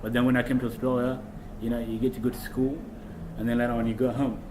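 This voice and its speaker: A man's voice: medium pitch, fast talker, background noise. Young man from Kenya, chatting casually. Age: young adult. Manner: relaxed, storytelling with many fillers. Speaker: guest. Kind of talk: casual chat. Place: small room indoors, seated on a couch.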